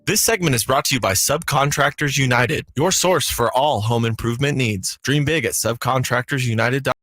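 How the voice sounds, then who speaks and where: A man's voice: medium pitch, fairly quick, a bit bright, no echo, studio quality, faint music behind. A man reading a short scripted sponsor announcement.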